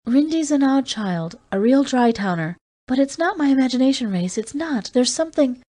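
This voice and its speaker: A scared, tearful young woman pleads in a high, shaky voice, as a woman reading the story puts her on. The voice sits well above the reader's own, with a soft, mellow tone. It is a storybook character voice. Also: expressive, moderate pace. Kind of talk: audiobook character voice.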